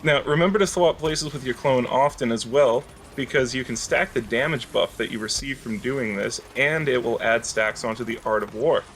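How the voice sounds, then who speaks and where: A man's voice: medium pitch, normal speed, hardly any pauses, fairly bright tone, a little hiss or hum. A man explaining a game build in a casual, instructional way, as a gaming video creator doing commentary.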